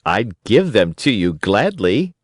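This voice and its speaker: A man's voice: lowish voice, big pitch swings, slow, dry recording, studio quality, exaggerated voice. A man voicing a sensible little boy with a mocking, matter-of-fact manner, in a storybook reading. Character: teasing, sensible little boy refusing bargains.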